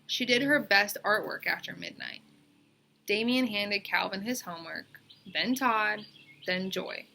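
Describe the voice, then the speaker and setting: A woman's voice: mid-range voice, unhurried, gravelly, bright, forceful tone, slight background noise. A woman reading a storybook aloud, narrating expressively for children. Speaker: storybook reader.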